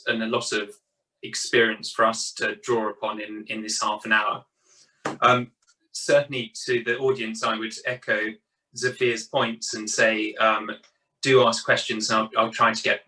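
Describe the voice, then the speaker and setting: A man's voice: medium pitch, sharp tone, distant mic, low-quality recording. A middle-aged man interviewing a guest on a video call from a study, with a hesitant delivery full of ums and repeated words. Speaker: interviewer, board chair. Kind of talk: hosting a webinar interview. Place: home study with bookshelves. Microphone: webcam on video call.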